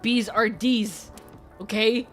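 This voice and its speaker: Slow-talking chatty woman streamer. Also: a little high, fairly even intonation, soft background music. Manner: chatty, rambling, laughs often. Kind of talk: casual chat.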